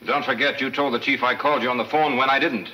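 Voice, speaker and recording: An actor playing a tough former policeman in a 1940s film serial, clipped, brisk and wry in his delivery. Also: mid-range voice, fairly even intonation, pushed and bright, rough recording. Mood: dry, probing. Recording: 1940s film soundtrack with music behind.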